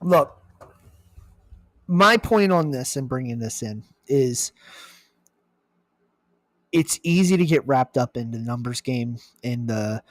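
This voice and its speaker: A man's voice: mid-range voice, animated, slow, pauses often, dry recording, clean studio sound. A man co-hosting a casual political podcast with teasing, sarcastic banter. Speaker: podcast co-host.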